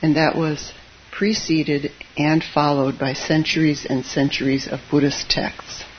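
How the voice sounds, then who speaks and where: A soft-spoken Zen teacher giving an informal dharma talk to a live audience, hesitant and gentle, with frequent fillers and wry asides. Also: flat delivery, a little slow. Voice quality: soft voice that tends to drop low. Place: meditation hall with a live audience. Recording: dull lo-fi audio with faint hiss.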